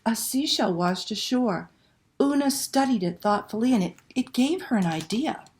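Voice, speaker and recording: A woman telling a picture-book story to children in a dramatic, expressive read-aloud style. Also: fairly high pitch, moderate pace, slight background noise. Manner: dramatic, animated delivery with hushed passages and exclamations.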